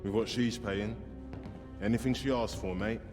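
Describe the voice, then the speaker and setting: A man's voice: lowish voice, fairly even intonation, normal speed, over music, natural voice. A man playing a cynical, money-minded mercenary in a video game cutscene.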